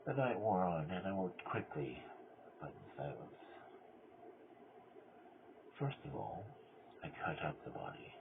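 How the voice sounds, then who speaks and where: A man playing a cunning, mad murderer who confesses in a theatrical gothic horror reading, swinging between hushed control and frenzy. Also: sits low, big pitch swings, a little slow, pauses often, full character voice. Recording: tinny band-limited audio with clear noise.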